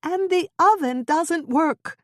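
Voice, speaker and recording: A woman voicing a frazzled young mother in an audiobook, shrill and tearful in her outbursts. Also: normal speed, a little mellow, no room sound, clean studio sound, natural voice. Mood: upset, shrill. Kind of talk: audiobook character voice.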